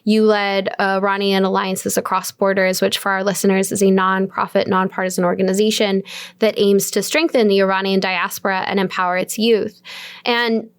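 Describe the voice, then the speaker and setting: A woman's voice: medium pitch, level tone, normal speed, smooth. A woman hosting a podcast interview, putting questions to a guest.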